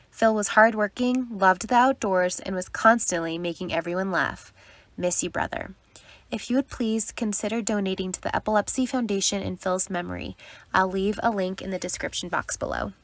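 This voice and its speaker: A woman hosting a children's read-aloud, giving expressive scripted storybook narration. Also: medium pitch, dry recording. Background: music.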